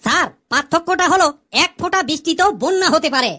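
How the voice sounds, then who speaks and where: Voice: very high-pitched, expressive, brisk, sharp tone, heavily acted. A performer voicing a cheeky joker of a pupil in a comedy cartoon, explaining silly ideas.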